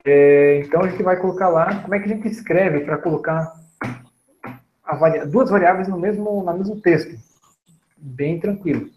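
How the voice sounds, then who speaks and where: A man's voice: sits high, echoey room, low-quality recording. Chatty man walking through code. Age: 30s. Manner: relaxed, chatty, frequent "né?" and "tá?" check-ins. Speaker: programming instructor. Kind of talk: screen-recorded coding tutorial.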